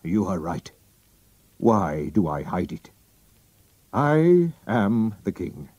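A man's voice: low-pitched, big pitch swings, takes it slowly, warm tone, slightly rough, dry recording, exaggerated voice. A man voicing a proud, anxious king who speaks formally and commandingly. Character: German-accented royal visitor, proud king, anxious.

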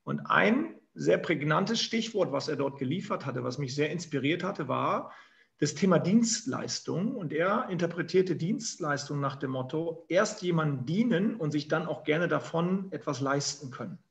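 A man's voice: a little high, lively intonation, moderate pace, gravelly, highs cut off. A man in his forties from northern Germany with a northern German accent, telling his career story as a guest with many fillers. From Emsland, northern Germany. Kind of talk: storytelling interview answers. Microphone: remote call.

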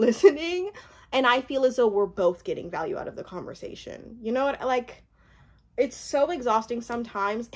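A young woman, born in Uganda, telling a personal story in a casual, animated way, laughing often and acting out what others said. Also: fairly high pitch. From born in Uganda, raised mostly in the US. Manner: animated, laughs often, acts out quoted speech. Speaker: vlogger.

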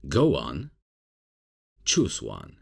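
Very deep, laid-back bumbling professor played by a man. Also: huge pitch swings, extremely slow, exaggerated voice. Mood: casual, amused. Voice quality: deep, gravelly, husky. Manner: casual, hesitant, chuckling, unprofessional. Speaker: roleplay audio performer. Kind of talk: comedic ASMR roleplay, spoken to the listener.

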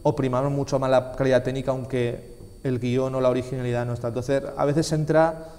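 A man's voice: mid-range voice, level tone, brisk, darkish tone. A man in his twenties with an accent from Spain, answering casually as an interview guest in an emphatic, repetitive way. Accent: Spanish from Spain. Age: 20s. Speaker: interview guest, film festival organiser. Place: small indoor TV studio, seated at a desk. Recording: reverberant room.

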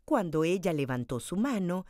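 Female storyteller, children's book read-aloud, animated melody, slightly low pitch, dry close sound. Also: moderate pace, no echo. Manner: expressive, dramatized storytelling delivery.

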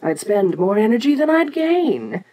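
A woman playing an ancient, domineering giantess kaiju queen in an audio roleplay, imperious and teasing yet protective, speaking with deliberate pauses. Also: fairly high pitch, big pitch swings, dark tone. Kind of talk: second-person audio roleplay acting.

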